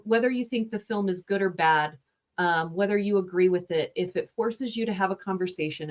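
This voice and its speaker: A woman film reviewer hosting an interview, chatty and lively, talking in a casual way with lots of fillers. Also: mid-range voice.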